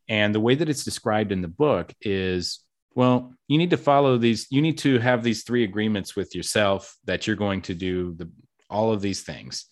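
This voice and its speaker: A man hosting a casual podcast interview, talkative and upbeat, with self-deprecating jokes. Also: on the low side. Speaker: podcast host.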